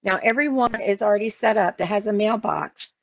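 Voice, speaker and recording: Creaky-voiced American woman giving step-by-step training. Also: medium pitch, fairly quick, dry recording. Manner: instructional, explanatory. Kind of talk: step-by-step software and phone training walkthrough. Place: online meeting screen-share, voice only. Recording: dull lo-fi online meeting audio.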